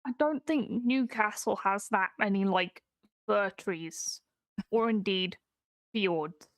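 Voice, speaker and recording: A woman's voice: medium pitch, slow, no room sound. A woman co-hosting a storytelling stream, casually thanking viewers and chatting. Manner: friendly, reads out viewer names. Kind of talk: casual chat.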